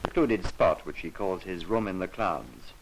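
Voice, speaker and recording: A man with a very deep voice narrates an educational film in the manner of the 1950s. His delivery is solemn and measured, yet the pitch moves expressively through each phrase. The archival soundtrack carries some background noise. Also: expressive, creaky, low-quality recording. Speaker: documentary narrator.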